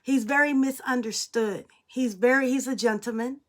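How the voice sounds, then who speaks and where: A woman's voice: fairly high pitch, normal speed. A young adult woman speaking in a casual spiritual monologue as a spiritual reader, blunt and emphatic, swearing freely.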